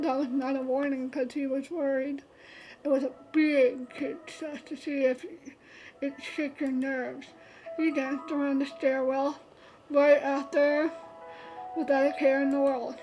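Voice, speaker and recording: A woman's voice: sits high, little pitch movement, measured pace, a little mellow, soft background music, low-quality recording. A woman streamer reading a visual novel aloud, stumbling and restarting often and adding chatty asides. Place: streaming setup.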